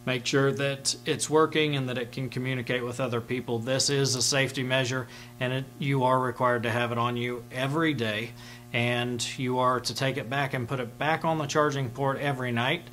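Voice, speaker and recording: A man's voice: mid-range voice, a bit bright. A middle-aged man giving an informal, step-by-step instructional demonstration in a plain-spoken way. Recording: clear background hum and noise.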